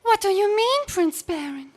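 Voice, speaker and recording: A woman's voice: very high-pitched, very animated, unhurried, no echo, heavily acted. A woman playing an anxious, devoted young heroine, melodramatic in the style of a 1930s space radio serial. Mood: worried.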